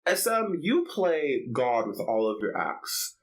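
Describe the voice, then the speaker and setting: A man's voice: a little high, sing-song, slow pace, rough recording. A man co-hosting a podcast, chatting casually and telling stories in a sassy, theatrical, slangy way. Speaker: podcast co-host. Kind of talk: casual chat and animated storytelling.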